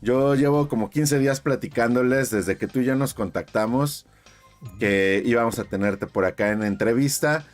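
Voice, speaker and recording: A man's voice: mid-range voice, normal speed, soft background music. A man from Mexico, hosting his channel's interview in a chatty, effusive way with lots of fillers. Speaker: YouTube channel host.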